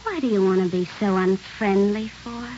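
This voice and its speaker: An actress playing a brassy, flirtatious barroom floozy in a 1940s radio drama, with a coaxing, slangy way of talking. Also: female voice, mid-range voice, normal speed, a little mellow, slight background noise, natural voice. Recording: dull old radio broadcast recording.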